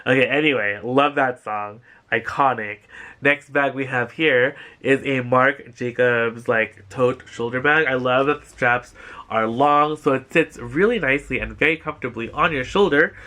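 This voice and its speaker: A young man with a bright, forward voice chats straight to the camera from a living room couch. He gushes over each find in a loose, rambling way, with plenty of fillers. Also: medium pitch, moderate pace. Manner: gushing and enthusiastic, rambling with many fillers. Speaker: thrift haul vlogger. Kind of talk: casual haul vlog.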